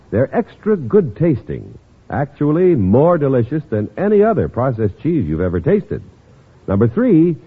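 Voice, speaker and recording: Man, vintage radio announcer, polished sales delivery, very animated, somewhat husky, tinny band-limited recording. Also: medium pitch. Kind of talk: 1950s scripted radio commercial and narration. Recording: thin, band-limited old radio broadcast.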